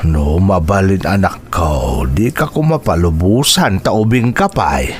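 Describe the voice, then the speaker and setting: A man's voice: low-pitched, animated, unhurried. An actor with an Ilocano accent playing a worried, protective father in a radio drama. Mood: worried, reluctant.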